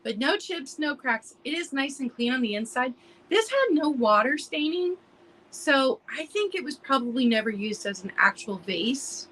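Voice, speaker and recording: A slightly high-voiced woman hosts a live vintage sale, chatting casually and restarting her sentences. Her voice is a little hoarse, and she clears her throat now and then. She greets viewers by name between item descriptions. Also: big pitch swings, normal speed, a bit bright, rough recording. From United States. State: losing her voice from allergies. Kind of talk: casual live-stream selling chat.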